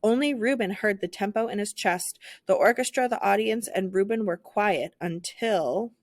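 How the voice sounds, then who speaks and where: A woman narrating a children's picture book aloud in an expressive, theatrical storytelling style. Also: mid-range voice, moderate pace.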